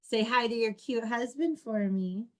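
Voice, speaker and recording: A woman's voice: on the high side, a little slow, close to an everyday voice. A woman playing a perky, earnest young homebody in a comedic play reading, with emphatic girlish slang. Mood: teasing.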